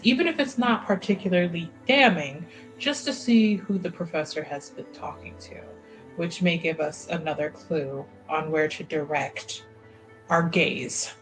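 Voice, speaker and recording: Woman player, slow and kinda formal, mid pitch, faint music. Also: poor audio. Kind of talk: casual tabletop game chat.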